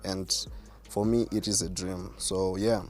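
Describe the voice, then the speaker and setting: A man with a deep voice, a self-assured artist answering interview questions. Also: fairly even intonation, unhurried, darkish tone, faint music behind. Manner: self-assured, boastful about his skill. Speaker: rapper and artist, guest.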